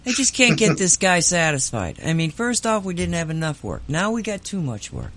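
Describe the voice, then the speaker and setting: Male host, a bit high-pitched, playful and rambling, quick to laugh. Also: very animated, a bit bright. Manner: teasing, restarts often, laughs a lot. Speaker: radio host. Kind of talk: casual chat.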